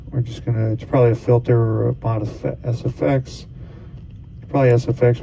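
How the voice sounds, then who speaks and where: Gravelly man explaining settings step by step. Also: mid-range voice, mellow, slight background noise, low-quality recording. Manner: casual, talks through each step as he goes. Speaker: tutorial host. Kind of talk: step-by-step equipment tutorial.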